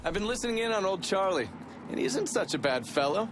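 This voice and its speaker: A man's voice: sits high, sing-song, fast, very noisy. An actor playing a sardonic male intelligence operative in a game, reporting in a wry, mocking, chatty way. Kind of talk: cinematic game dialogue.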